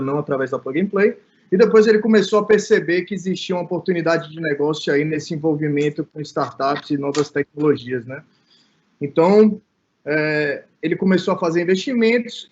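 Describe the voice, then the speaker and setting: A man with a Brazilian accent from Bahia, speaking informally as a guest in an online panel, often adding short check-in tags. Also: fairly high pitch. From Salvador, Brazil. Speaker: innovation manager, guest speaker. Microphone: online call. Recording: dull, lo-fi online call audio.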